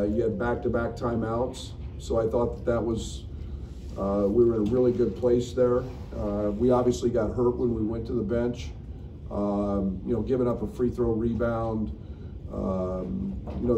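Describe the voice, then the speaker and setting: A middle-aged coach talks at a table in a press room, frank and halting, full of restarts. His voice sits a bit low. The sound is roomy and noisy, picked up from a distance. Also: flat delivery, mellow. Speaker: basketball coach. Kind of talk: postgame press conference. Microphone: table mic, recorded from the room.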